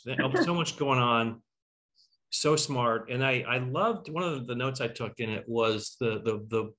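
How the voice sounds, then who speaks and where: A middle-aged man hosting a talkback on a video call, haltingly repeating words as he searches for the next. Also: medium pitch, bright, forceful tone. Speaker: talkback host. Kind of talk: discussion and questions on video call. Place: room at home.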